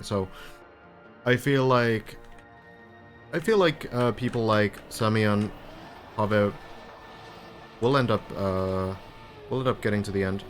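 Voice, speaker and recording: A man from Finland, a Finnish native speaker, chatting casually on a live stream as he comments on a game and answers viewers. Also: fairly low pitch. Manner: relaxed, chatty, answers viewers with light laughs.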